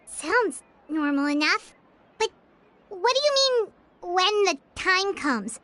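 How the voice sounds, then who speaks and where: Very high, squeaky fairy sidekick. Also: female voice, slow, dry recording, heavily acted. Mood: wary, puzzled. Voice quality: squeaky, childlike. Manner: exclaiming, questioning, expressive. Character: tiny childlike fairy companion, curious, chirpy, suspicious. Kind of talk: scripted video game dialogue. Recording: music clearly behind the voice.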